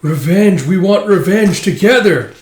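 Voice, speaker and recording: A man's voice: sits high, animated, unhurried, a little mellow, voice pushed up. A man voicing restless dead spirits who chant insistently for revenge. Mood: vengeful. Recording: echoey room.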